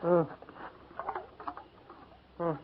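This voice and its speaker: Slow, halting, wounded young scout. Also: male voice, narrow, tinny audio, a little hiss or hum. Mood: pained, fearful. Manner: halting, clipped broken English. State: in pain, weak. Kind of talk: 1950s radio western acting. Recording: thin 1950s radio recording.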